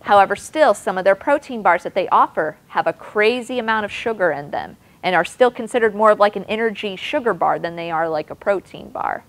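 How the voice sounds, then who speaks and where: A woman's voice: medium pitch, lively intonation, a little fast, few pauses. A woman presenting on nutrition, answering audience questions in a chatty way with lots of "like" and "you know". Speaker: nutrition presenter at a clinic talk. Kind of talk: audience Q&A session.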